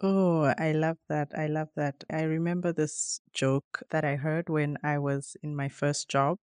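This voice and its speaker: A woman's voice: low voice, level tone, measured pace, darkish tone, smooth, no room sound. A woman in her thirties hosting a podcast, enthusiastic and affirming, asking long questions with several parts. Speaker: podcast host. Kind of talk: friendly interview hosting.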